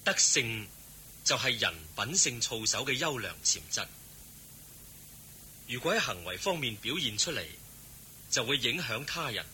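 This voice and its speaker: An actor playing a serene, kindly holy teacher in a Hong Kong Cantonese radio drama, speaking in a composed, gently instructive way. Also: male voice, medium pitch, lively intonation, measured pace, long pauses, a little hiss or hum, rough recording.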